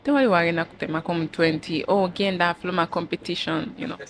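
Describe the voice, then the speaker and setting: A woman's voice: on the low side, fairly even intonation, quick pace. A young woman answers interview questions as a pageant winner, switching languages casually and telling stories with acted-out dialogue. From Uganda. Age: young adult. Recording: clearly noisy, with ambient background sound.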